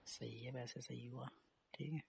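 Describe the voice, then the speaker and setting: A man's voice: medium pitch, steady tone, moderate pace, vocal fry, breathy edge, dry recording, full character voice. A young man playing a teasing, evasive boyfriend in a casual phone-call romance drama. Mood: calm, teasing. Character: teasing young boyfriend dodging blame over a pregnancy scare.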